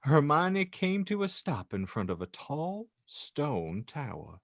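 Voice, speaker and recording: Male host narrating in a theatrical, wry read, lively pitch, dry close sound. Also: slow pace, gravelly, dry recording. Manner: theatrical, wry, breaks into laughter. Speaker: podcast host reading as narrator. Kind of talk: comedic dramatic reading with asides.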